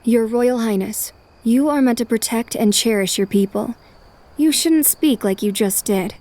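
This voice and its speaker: Woman acting a poised, fairly high-voiced young noblewoman. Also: measured pace. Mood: cool defiance. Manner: formal, calm, resolute. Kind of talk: anime fan dub acting.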